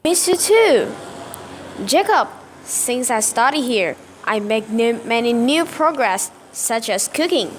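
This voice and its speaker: A woman's voice: fairly high pitch, expressive, takes it slowly, a little hiss or hum. A woman playing an emotional young female student torn between two loves in an amateur radio play. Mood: surprised, happy.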